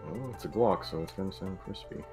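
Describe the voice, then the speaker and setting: A man's voice: sits low, quick pace, music in the background. A man streaming a casual hobby session, talking loosely to his chat with lots of fillers. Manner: laid-back, rambling with many fillers.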